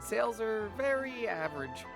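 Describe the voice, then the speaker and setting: A man's voice: high-pitched, sing-song, normal speed, gravelly, music in the background, full character voice. A male actor playing an apologetic young drink vendor in a video game, nervous and deferential. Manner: hesitant, deferential. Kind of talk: English video game voice acting.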